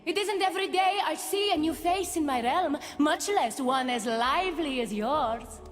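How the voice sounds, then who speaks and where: A woman's voice: high-pitched, animated, normal speed, faint music behind. An actress voicing a regal, gracious goddess queen in a video game, poised, courtly and possessive, in a reverberant space.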